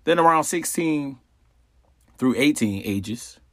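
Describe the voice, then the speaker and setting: A man's voice: mid-range voice, animated, slow pace, no room sound, clean studio sound. A man hosting an astrology talk in a casual, conversational way, repeating phrases and asking rhetorical questions. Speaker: astrology channel host. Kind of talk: casual explanatory monologue.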